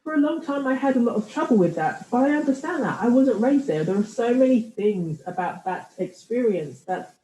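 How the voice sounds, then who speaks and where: A woman's voice: a little high, lively intonation, brisk, mellow, echoey room, rough recording. A woman from the United Kingdom, a writer and podcaster, talking in a thoughtful interview and often restarting her sentences. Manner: reflective, thoughtful, many restarts. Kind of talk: conversational interview.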